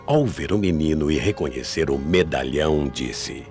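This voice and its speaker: A man narrating a radio mystery play in a grave, measured, dramatic storytelling manner. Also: extremely low pitch, expressive. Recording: AM radio drama with loud music behind.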